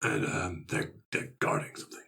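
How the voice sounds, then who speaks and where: A man's voice: low-pitched, level tone, normal speed, gravelly, pushed and bright. A man chatting casually as a player in a tabletop game.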